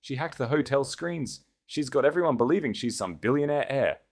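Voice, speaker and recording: A man's voice: medium pitch, lively intonation, fairly quick, pitched above the performer's own voice, lightly acted. A man voicing a proud, desperate executive in an audiobook, with frantic, breathless, insistent delivery. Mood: panicked pleading. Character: proud, desperate disgraced male executive, panicking and pleading.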